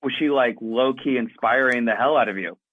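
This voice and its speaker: A man's voice: mid-range voice, fairly quick, dry recording. Jokey male host, thin phone-like sound. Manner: informal, jokey. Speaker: interviewer, show host. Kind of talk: casual, joking interview chat. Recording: muffled phone-like band, dry.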